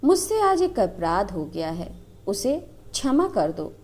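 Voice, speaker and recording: A woman's voice: medium pitch, very animated, slow pace, darkish tone. Woman narrator as a tearful young wife, guilt-ridden, pleading, devoted, storybook drama, swooping intonation. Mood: pleading confession. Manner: pleading, confessional. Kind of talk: dramatised Hindi storybook reading.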